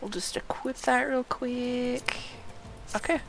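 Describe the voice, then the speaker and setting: A woman streams a game with casual, off-the-cuff commentary. She talks slowly, half to herself, reacting aloud as she goes, with soft music playing behind her. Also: fairly high pitch, steady tone, gravelly. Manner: reacts aloud, muttering to self.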